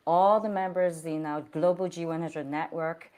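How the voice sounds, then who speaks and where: A woman host with a somewhat low pitch for a woman. She speaks in an effusive, encouraging way, praising her guest and laughing easily. She is heard over a video call. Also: fairly even intonation, normal speed, a little mellow, clear, resonant tone. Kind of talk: hosting an online interview.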